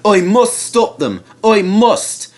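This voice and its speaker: A man's voice: sits high, expressive, takes it slowly, pitched above the performer's own voice, exaggerated voice. A man voicing an earnest, polite, plucky male tank engine in a storybook reading. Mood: straining.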